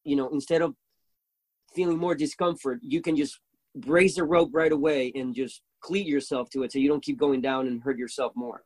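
Excitable Venezuelan-accented man, dry sound. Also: medium pitch, moderate pace, husky, no echo. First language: Spanish. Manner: excitable storyteller, swears freely. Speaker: guest. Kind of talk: casual chat.

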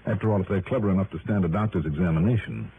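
A man's voice: deep voice, racing, creaky. An actor playing a shrewd, breezy, hard-boiled male investigator in a vintage radio drama, talking in a slangy, musing way. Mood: decisive. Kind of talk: 1950s-60s radio drama acting. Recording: tinny, noisy vintage radio recording.

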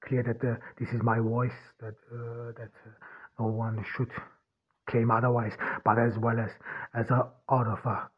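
A man whose first language is German, hosting a solo podcast and talking in a halting way, with many restarts and repeated words. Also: medium pitch, level tone. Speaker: podcast host. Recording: tinny phone-like sound.

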